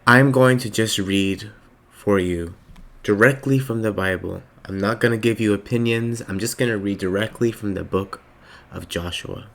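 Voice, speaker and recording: A man with a slightly raspy voice giving a slow, reflective spiritual monologue with many pauses. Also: lowish voice, fairly bright tone. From Palestinian heritage. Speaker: spiritual reader.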